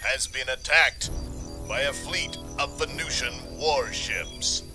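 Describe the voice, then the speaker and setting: A man as a slow, dramatic news announcer. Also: medium pitch, over music, lightly acted. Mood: grave, dramatic. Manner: formal, deliberate, dramatic. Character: solemn, dramatic male news bulletin announcer. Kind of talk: mock news bulletin in sci-fi cartoon.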